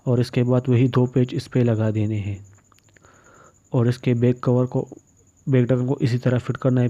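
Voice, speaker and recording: Dark-voiced man, flat instructional delivery. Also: mid-range voice. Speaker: phone repair tutorial presenter. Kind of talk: step-by-step instructional voice-over. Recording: dull, muffled lo-fi recording.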